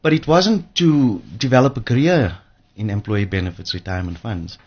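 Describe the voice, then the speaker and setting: A man's voice: medium pitch, moderate pace, lo-fi sound. An older man with a South African accent, a guest in a radio interview, telling his story in an informal, reflective way. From Johannesburg, South Africa. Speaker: interview guest, pension fund trustee.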